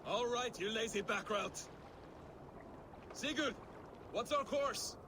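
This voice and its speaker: A man's voice: extremely high pitch, slow, background noise. An actor playing a crude, jovial Viking boat crewman in a video game, boisterous and mocking. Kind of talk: video game cinematic acting.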